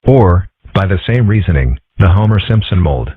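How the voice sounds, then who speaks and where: A man voicing a dry, philosophical young cartoon fox boy in a deadpan way for a comic strip dub. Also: low voice, moderate pace, slightly rough, no echo. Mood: dry, skeptical. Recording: muffled phone-like audio.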